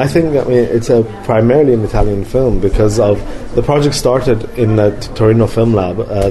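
A man who grew up in London, a film director answering interview questions in a halting way, with many fillers and restarts. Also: lowish voice. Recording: crowd noise behind.

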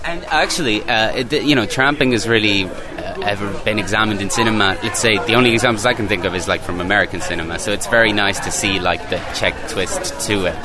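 A man's voice: fairly low pitch, fast talker, hardly any pauses, over loud music. A man from Ireland interviewing a guest, asking long questions with many hesitations and repeated words. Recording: crowd noise outdoors.

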